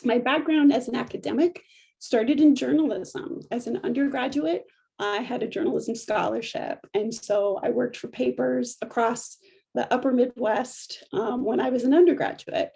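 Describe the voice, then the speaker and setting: Husky, mellow-voiced middle-aged woman lecturing. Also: on the high side, steady tone. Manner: measured, explanatory with frequent restarts. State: allergy-affected voice. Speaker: professor.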